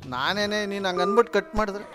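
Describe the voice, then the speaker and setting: A man's voice: high voice, fast talker, over music. A man hosting comic prank calls in a teasing, cheeky way. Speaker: prank call radio jockey host. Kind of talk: comic prank call and channel presenting.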